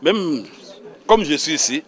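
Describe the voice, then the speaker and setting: A man's voice: a little high, expressive, unhurried, fairly bright tone. A man with a Congolese accent, a church minister recounting his calling in a religious interview. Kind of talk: personal testimony in a religious interview.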